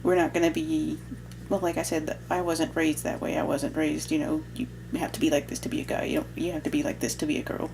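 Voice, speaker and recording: A young adult woman with a low, strongly gravelly voice, talking casually to camera. She sounds relaxed and reflective and drops in joking asides. A faint hum runs behind her. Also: fairly quick. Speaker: vlogger. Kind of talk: casual chat.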